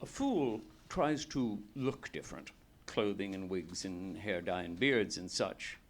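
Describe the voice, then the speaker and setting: A man's voice: deep voice, lively intonation, a little slow, full character voice. A man playing a hearty, shrewd British police inspector in a live radio play, talking in an expansive, knowing way. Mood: knowing, explanatory. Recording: live theatre stage, faint noise.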